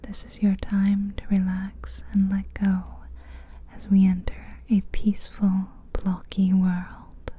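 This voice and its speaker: A woman whispering gently in a breathy, soothing ASMR style, guiding a relaxing visualization with long unhurried pauses. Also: medium pitch, completely flat delivery. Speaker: ASMR guide narrating a guided visualization. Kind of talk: soft-spoken ASMR guided visualization. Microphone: close-up ear-to-ear ASMR microphone.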